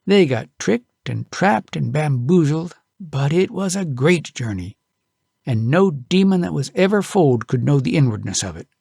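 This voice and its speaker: A man narrating a tall tale as an audiobook, in a folksy, expressive storytelling manner. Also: a little high, moderate pace, vocal fry, slightly rough, dry recording, clean studio sound.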